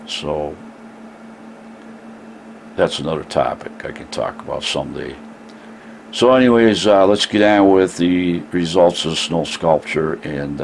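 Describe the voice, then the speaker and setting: Older Midwestern man, scratchy and very deep, unhurried rambling vlogger, a faint hiss behind him. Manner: rambling, with many "uh" pauses. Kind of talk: casual vlog talk to camera.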